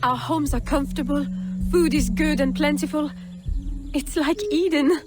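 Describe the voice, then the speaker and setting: An actress voices a devoted woman laborer in a game drama. Her high voice is insistently content with a guarded edge, and music plays clearly behind. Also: normal speed, natural voice. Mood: serenely joyful. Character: blissfully content, fearful, brainwashed woman laborer. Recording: music under the voice.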